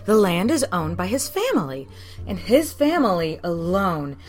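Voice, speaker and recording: A woman's voice: fairly low pitch, sing-song, measured pace, soft background music. Woman acting a poised, lilting society lady. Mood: diplomatic, assured. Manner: poised, theatrical, genteel. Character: gracious, polished mayor's wife, diplomatic society lady. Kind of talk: acted talk show interview in audio drama.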